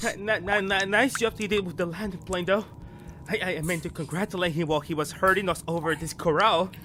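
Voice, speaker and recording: A man's voice: sits high, fairly even intonation, a little fast, bright, forceful tone, slight background noise. A man playing an arch, flippant society wit in an old-time radio drama. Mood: nervous, flippant. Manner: arch, grandiose, drawling. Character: flippant, theatrical society wit, nervous male jester. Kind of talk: 1930s radio acting.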